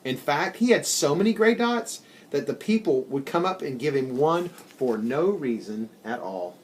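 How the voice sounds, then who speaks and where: Male narrator for a children's storybook: expressive and animated, deliberate phrasing, slight background noise. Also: on the high side. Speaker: story reader.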